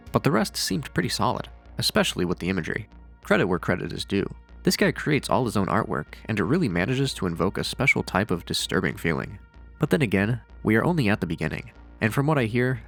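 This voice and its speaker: Low-voiced man narrating, dryly sardonic. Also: very animated, quick pace, creaky, a bit bright. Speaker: video narrator and reviewer. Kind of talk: scripted horror series commentary with casual asides. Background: faint music.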